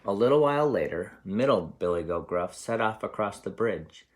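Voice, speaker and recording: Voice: low voice, lively intonation, moderate pace. A storyteller reading a picture book aloud expressively for young children.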